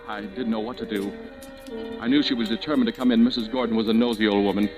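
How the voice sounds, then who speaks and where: A man's voice: fairly low pitch, fairly even intonation, quick pace, music in the background, lightly acted. An actor playing an anxious, evasive young man with a secret in an old-time radio suspense drama, tense and stammering. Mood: tense narrating. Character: nervous young man hiding a terrible secret. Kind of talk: old-time radio suspense acting with first-person narration. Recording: old radio broadcast recording.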